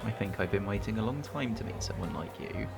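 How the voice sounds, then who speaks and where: A man's voice: lowish voice, animated, brisk, vocal fry, over music. An actor playing a shy, tidy, awkwardly romantic man with a hesitant, self-mocking manner in a radio drama. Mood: earnest, nervous.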